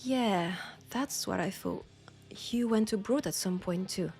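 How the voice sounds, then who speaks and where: A woman acting a playful, nostalgic old friend in an intimate audio roleplay. She has a French accent and a mid-pitched voice. She talks slowly and teasingly, as in a casual chat, with faint guitar music behind her. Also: natural voice. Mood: curious, friendly. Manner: unhurried, teasing, breaking into soft laughs.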